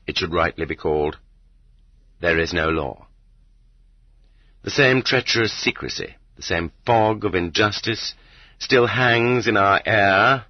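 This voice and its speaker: A man narrating a literary audiobook in a deliberate, rhetorical, sardonic manner, with a husky voice, on a dull cassette transfer. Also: deep voice, dry recording.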